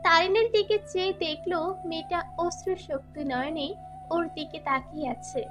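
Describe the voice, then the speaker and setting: Very high-pitched woman narrating a story. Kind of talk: scripted dramatic narration. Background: background music.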